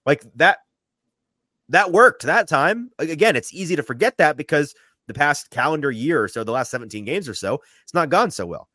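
A man hosting a sports talk podcast, sniffly from a sinus infection, animated and often repeating his first words as he starts a thought. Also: on the high side, moderate pace, dry recording, studio quality. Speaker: podcast host.

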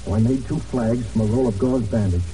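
An actor playing an earnest, plain-spoken American Navy man in hiding, narrating his ordeal with dramatic pauses in a 1940s radio drama. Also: medium pitch, warm tone, a little hiss or hum, close to an everyday voice. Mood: proud, excited. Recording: dull, distant old radio recording.

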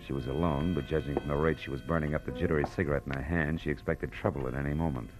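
An actor playing a tough, wry American private detective in 1940s radio style, clipped and wisecracking. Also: male voice, very deep voice, steady tone, racing, low-quality recording. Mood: terse narrating. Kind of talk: 1940s radio detective acting and first-person narration. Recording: old radio drama with music behind.

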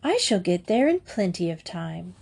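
A woman's voice: on the low side, sing-song, moderate pace, vocal fry, a little mellow, breathy edge, exaggerated voice. A woman voicing an innocent, trusting little girl in a storybook, sweet and earnest. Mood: cheerful. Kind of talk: storybook character voice.

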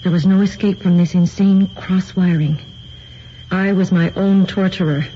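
An actress playing a composed woman in a radio drama, reading in a measured, solemn manner. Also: lowish voice, flat delivery, dark tone, natural voice. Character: composed woman reading a grim account aloud. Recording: dull lo-fi radio recording, faint noise.

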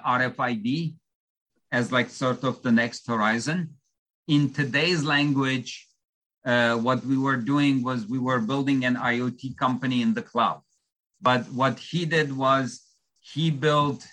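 A man from Turkey, speaking English with a light accent that drifts between influences. His voice is bright and forward, and he tells his story in a measured, explanatory way, like a founder walking an interviewer through his path. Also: medium pitch, poor audio. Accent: light shifting foreign accent. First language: Turkish. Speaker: startup founder, guest. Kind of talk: interview answers.

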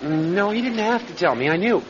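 A man's voice: high-pitched, animated, normal speed. An actor in an old radio crime drama playing a timid, eccentric man who is eager to have his confession believed, speaking hesitantly and earnestly. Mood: meek, insistent. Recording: dull old radio recording.

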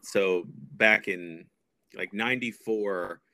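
An American man in his thirties from Texas, chatting casually as a podcast guest with a hesitant, pausing delivery. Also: lowish voice, bright, forceful tone. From Texas, United States. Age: 30s. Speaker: podcast guest, punk music promoter. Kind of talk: casual chat.

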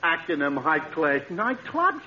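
An actor playing a timid, dim-witted and naive man, a lowbrow sidekick in a 1940s radio comedy. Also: very animated, a little slow, sharp tone, slight background noise, exaggerated voice. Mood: nervous, reluctant. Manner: dopey, naive, plain ungrammatical talk. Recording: dull old radio before an audience.

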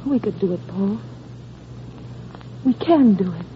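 A woman's voice: mid-range voice, expressive, unhurried, close to an everyday voice. An actress playing a tender, anguished godmother in a 1930s radio drama, speaking urgently and repeating her words. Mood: grave sorrow. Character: tender anguished godmother comforting a beloved child. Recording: tinny noisy old radio broadcast.

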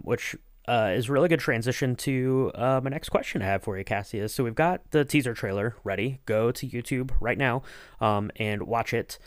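A man's voice: fairly low pitch, no room sound, studio quality. A man hosting a casual podcast interview, talking in long, rambling, circling sentences.

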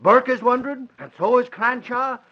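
Excitable sidekick in old radio drama, really high lively voice, dull vintage sound. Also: male voice, moderate pace. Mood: eager. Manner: excitable, exclamatory, folksy. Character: excitable, boastful, folksy male Irish-American sidekick.

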